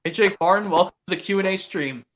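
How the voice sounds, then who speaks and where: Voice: mid-range voice, a crowd in the background, rough recording. A stream host chatting casually on a video call, often stopping and restarting sentences. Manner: halting, many restarts.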